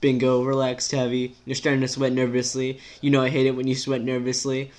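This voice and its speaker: A man's voice: medium pitch, monotone, a little fast, a little mellow, lightly acted. A man voicing a vain, irritable, sarcastic teenage girl with a biting, emphatic delivery. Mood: snippy.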